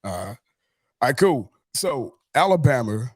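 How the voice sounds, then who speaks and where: A man's voice: medium pitch, sing-song, measured pace, darkish tone, no echo, studio quality. A man from Mississippi, hosting a casual sports fan chat in a laid-back, slangy way, often restating himself. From Mississippi, United States. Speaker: host of a sports fan show.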